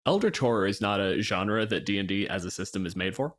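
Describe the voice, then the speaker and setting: A man's voice: on the low side, lively intonation, a little fast, a little hiss or hum. A man hosting a podcast, discussing games casually with emphatic phrasing. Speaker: podcast host.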